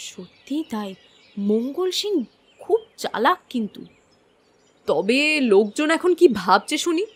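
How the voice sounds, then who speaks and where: A woman's voice: a little high, big pitch swings, unhurried, breathy edge, full character voice. A woman playing an awed, uneasy gossiping villager in a cartoon fairy tale.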